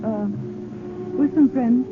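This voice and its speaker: A woman's voice: fairly high pitch, slow pace. An actress playing a cool, guarded young lady who deflects romance with wry coyness in a 1930s radio drama. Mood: evasive, wistful. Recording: old tinny radio broadcast, music behind.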